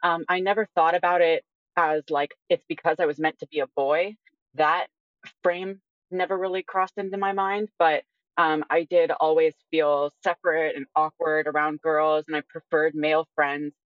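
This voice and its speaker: Female guest, early 20s, measured and conversational, slightly muffled lo-fi audio with little room sound. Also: deep voice, level tone, no echo. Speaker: interview guest. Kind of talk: reflective personal account in conversation.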